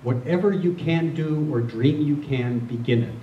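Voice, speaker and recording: A middle-aged or older man giving a reflective spiritual talk to a group, speaking earnestly and deliberately, often repeating phrases. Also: fairly high pitch, highs cut off. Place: room with a listening group. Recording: roomy, distant mic, faint noise.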